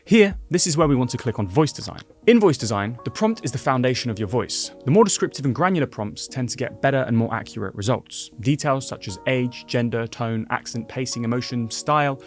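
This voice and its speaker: Brisk male tutorial host. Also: medium pitch, expressive, faint music behind. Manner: brisk, clear, instructive. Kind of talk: scripted tutorial narration.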